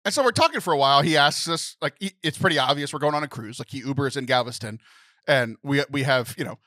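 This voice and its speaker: A young man co-hosting a podcast, telling a story in a lively, animated way. He laughs often and mimics other people's voices. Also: mid-range voice, fast, no room sound. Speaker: podcast co-host.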